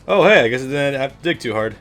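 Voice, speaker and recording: A man's voice: medium pitch, a bit bright. A man co-hosting a let's play, bantering casually and reacting in an informal way. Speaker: gaming video co-host. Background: video game music.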